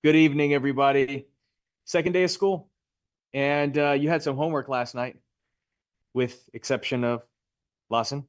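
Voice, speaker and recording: A man's voice: medium pitch, steady tone, normal speed, pauses often, dry recording. A man teaching a live class, upbeat and chatty, asking his students questions. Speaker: real estate instructor.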